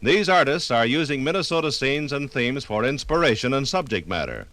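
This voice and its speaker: A man reading scripted 1950s radio documentary narration in a formal, measured manner. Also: medium pitch, big pitch swings, a bit bright, dry recording.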